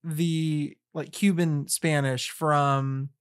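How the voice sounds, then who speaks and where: A woman hosting a podcast, asking questions in a halting, apologetic way with restarts. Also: low-pitched, steady tone, clean tone. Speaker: podcast host. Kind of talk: interviewing guests.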